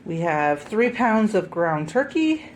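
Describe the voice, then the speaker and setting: A woman's voice: mid-range voice, very animated, takes it slowly, background noise. A woman vlogger with a stuffed-up head cold, chatting casually at home through a grocery haul and often correcting herself with ums. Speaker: homesteading vlogger.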